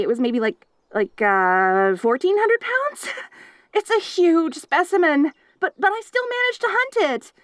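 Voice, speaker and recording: A woman's voice: very high-pitched, a bit bright, no room sound, clean studio sound, exaggerated voice. A woman playing a hyper, clingy, perky young wolf girl in an audio roleplay, with a giggly, rambling, stammering and eager delivery. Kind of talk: audio roleplay addressed to the listener.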